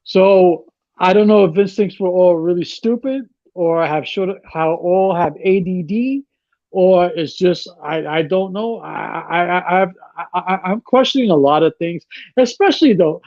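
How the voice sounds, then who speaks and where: A man with a notably high voice talks over a dry, slightly dull recording. He rambles through sarcastic complaints, often restarting his sentences in casual podcast banter. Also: measured pace, creaky, darkish tone, no echo. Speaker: podcast co-host. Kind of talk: casual wrestling chat.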